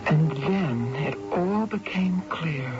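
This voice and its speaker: An actress playing a shaken, bewildered estranged wife in a radio drama, with a hesitant, dramatic delivery. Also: female voice, sits low, animated, slow, very noisy, heavily acted. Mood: haunted recollection. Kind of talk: radio drama acting and narration. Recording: dull lo-fi radio broadcast.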